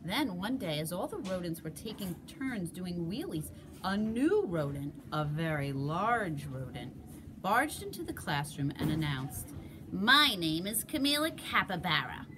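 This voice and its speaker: A woman narrates a children's picture book slowly, with very lively pitch. She leans on key words and pauses for drama, and there is clear background noise. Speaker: storybook reader. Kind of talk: expressive children's read-aloud narration.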